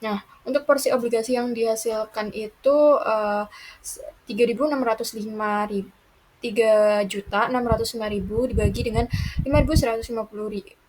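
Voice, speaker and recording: Young female student presenter, fairly high voice, halting delivery with restarts, noisy recording. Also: steady tone, darkish tone. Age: young adult. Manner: halting, with broken-off words and restarts. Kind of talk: lesson presentation, working through accounting problems. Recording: noticeable background noise.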